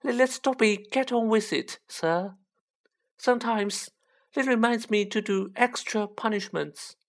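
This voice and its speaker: A woman voicing a small male house elf, high-pitched and squeaky, servile and overwrought, in an audiobook. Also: measured pace. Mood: anguished, resigned. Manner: deferential, overwrought, speaks of himself in third person.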